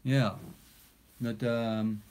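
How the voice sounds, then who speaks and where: A man's voice: mid-range voice, level tone, very slow, a little mellow, clear, resonant tone. A man in his forties, not a native English speaker, chatting casually on a live stream from his small home studio, reading out viewer comments with plenty of fillers. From Australia. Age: 40s. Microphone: phone camera at arm's length.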